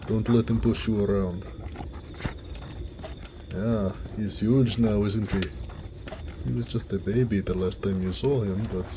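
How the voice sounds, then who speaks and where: Man playing a low, unhurried orc blacksmith. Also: fairly even intonation, background noise. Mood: playful, fond. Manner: folksy, affectionate, easygoing. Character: big, warm-hearted orc blacksmith, affectionate and jovial. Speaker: audio roleplay voice actor. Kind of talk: intimate romantic audio roleplay, one-sided conversation.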